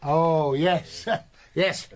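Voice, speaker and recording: Slow, high-pitched, guarded villager. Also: male voice, fairly even intonation. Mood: wary. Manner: curt, guarded. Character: guarded, dismissive village pub regular, stern toward strangers. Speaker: actor. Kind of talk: audio drama acting.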